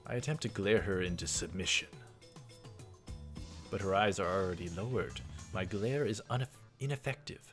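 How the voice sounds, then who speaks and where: A man hosting a let's play, reading visual novel text aloud with halting delivery and casual commentary. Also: low voice, soft background music. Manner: halting, repeats words, interjects mild exclamations.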